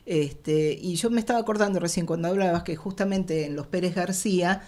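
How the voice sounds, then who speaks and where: A woman's voice: on the low side, a little fast, dark tone. A woman with an Argentine accent, talking conversationally and in detail as a radio drama writer being interviewed. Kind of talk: conversational explaining.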